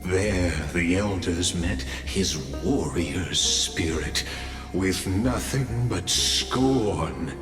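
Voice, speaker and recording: A grave storyteller of heroic legend in a game, very low and extremely slow. His theatrical delivery rings in a long reverb over clear music. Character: solemn male storyteller of an epic legend. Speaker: narrator. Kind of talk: scripted epic narration.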